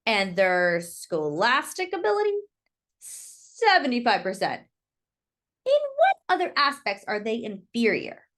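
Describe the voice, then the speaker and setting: A woman's voice: a little high, wildly swooping pitch, a little slow, fairly bright tone, heavily acted. Woman reading as a pointed, formal courtroom lawyer. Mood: probing. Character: probing male lawyer questioning a witness. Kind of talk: storybook read-aloud character.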